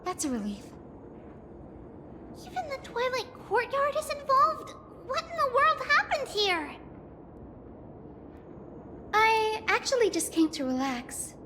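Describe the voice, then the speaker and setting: A woman voicing a cheerful, caring young girl in an anime-style game, expressive and earnest, with exclamations. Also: very high-pitched, slow, pauses often, a little hiss or hum, exaggerated voice. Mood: concerned. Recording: background game music.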